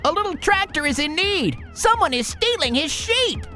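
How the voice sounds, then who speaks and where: Excitable little cartoon vehicle, childlike, very high, bright, animated. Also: female voice, moderate pace, faint music behind, full character voice. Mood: excited. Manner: childlike, exclamatory.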